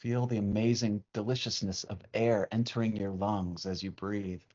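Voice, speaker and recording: A man leading a guided meditation as a teacher, speaking in a soothing, unhurried way with long pauses. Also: lowish voice, fairly even intonation, darkish tone. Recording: dull, muffled lo-fi sound.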